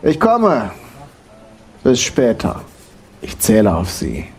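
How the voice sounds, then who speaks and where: A man playing a brisk, demanding senior law partner in an audio drama. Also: mid-range voice, wildly swooping pitch, exaggerated voice. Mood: brisk, stern. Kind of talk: German audio drama dialogue.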